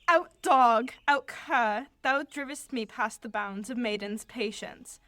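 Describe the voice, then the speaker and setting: A woman's voice: on the high side, slow, fairly bright tone, no echo. A fiery young woman in love acted by a female in her late teens in an amateur radio drama. She speaks verse vehemently in a raised register. The sound is close and dry. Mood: angry, scornful. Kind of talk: amateur 1940s-style radio play Shakespeare.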